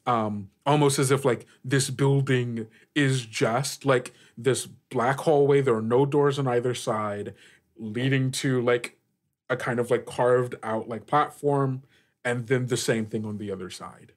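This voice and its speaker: A man's voice: medium pitch, fairly even intonation. A man narrating an improvised tabletop game as game master, feeling his way through descriptions with frequent "like". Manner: halting, searching descriptions with frequent "like".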